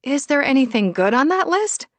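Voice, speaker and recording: Woman voicing a sardonic, emotional young wife, pitched up. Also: very animated, normal speed, fairly bright tone, no echo. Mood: wry.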